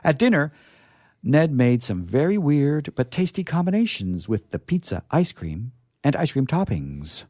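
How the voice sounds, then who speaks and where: Male comic storyteller and host, very lively pitch, close dry sound, playful ad-libbing. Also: measured pace, no room sound. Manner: playful, exaggerated delivery with ad-libbed asides. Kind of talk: live comic story reading with sign-off.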